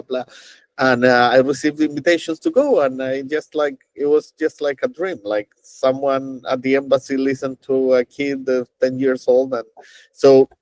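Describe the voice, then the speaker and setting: Man, middle-aged, halting interview guest, dry close mic. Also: mid-range voice, a little mellow, no room sound, rough recording. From Chile. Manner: halting, many fillers and restarts, storytelling. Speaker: NASA engineer, guest. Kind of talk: conversational interview answers.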